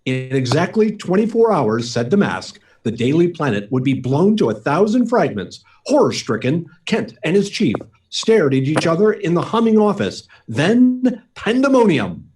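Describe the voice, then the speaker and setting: Vintage radio narrator, male actor: portentous, suspenseful, very animated intonation, a bit off-mic. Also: a little high, normal speed, few pauses, a little hiss or hum. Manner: portentous, theatrical. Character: dramatic male radio serial announcer. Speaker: narrator and announcer. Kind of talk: 1940s radio serial narration. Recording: distant mic, live remote performance.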